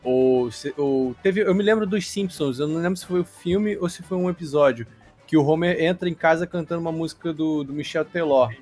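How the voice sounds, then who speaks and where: A man's voice: on the high side, brisk, darkish tone, faint music behind. A man on a podcast, arguing his point at length. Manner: argumentative, explains at length. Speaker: podcast co-host. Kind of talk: casual chat.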